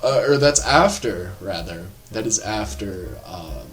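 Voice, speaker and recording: A man giving a casual, rambling film review, full of filler words and quick asides, over faint background music. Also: on the low side. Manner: animated, rambling, lots of filler words and laughs.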